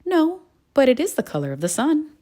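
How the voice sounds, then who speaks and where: A woman's voice: high-pitched, huge pitch swings, brisk, mellow, voice pushed up. A woman voicing a proud, defensive crayon in a children's storybook, self-satisfied and dramatic. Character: proud, defensive crayons showing off their drawings. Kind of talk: children's storybook read-aloud.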